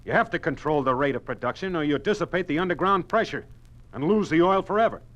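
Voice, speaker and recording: An actor playing a principled, defiant plant manager in a 1950s radio drama, earnest and firm. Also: male voice, on the high side, animated, quick pace, a bit bright, lo-fi sound. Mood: indignant.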